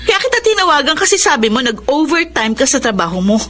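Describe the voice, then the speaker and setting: An actress playing a suspicious wife who questions her husband in a sharp, demanding way. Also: sits high, sing-song, fast talker, slight background noise. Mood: accusing. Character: suspicious, accusing jealous wife on the phone. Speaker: radio drama actress. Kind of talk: radio drama acting. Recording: radio drama with music bed.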